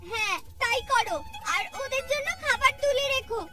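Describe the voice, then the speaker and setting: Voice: very high-pitched, normal speed. A performer voicing a bossy, nagging older mother-in-law in a family cartoon, scolding and complaining. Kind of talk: cartoon voice acting. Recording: distant voice under loud music.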